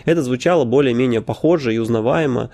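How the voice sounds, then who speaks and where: A man hosting a casual podcast, his mellow, warm voice sitting at a middle pitch. He talks off the cuff, with filler sounds and quick self-corrections. He drops wry, opinionated asides along the way. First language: Russian. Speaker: podcast host.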